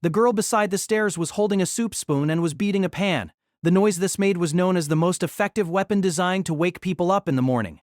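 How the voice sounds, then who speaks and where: A man narrating a novel in an audio drama, steady storytelling with lively inflection. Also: high-pitched, brisk, hardly any pauses, dry recording, clean studio sound.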